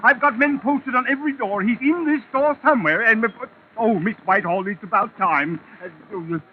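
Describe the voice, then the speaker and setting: Exasperated store manager played by a man, high and emphatic, radio-play bluster, phone-thin vintage sound. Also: moderate pace, natural voice. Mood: indignant. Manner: indignant, fussy, emphatic. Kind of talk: old time radio drama acting. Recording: tinny, noisy vintage radio recording.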